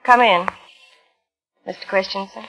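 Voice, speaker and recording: A tense, guarded wife. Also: female voice, medium pitch, unhurried, fairly bright tone, soft background music, low-quality recording. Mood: anxious. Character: guarded, strained, dutiful wife of an invalid. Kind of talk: 1950s radio suspense acting. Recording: old 1950s radio drama recording.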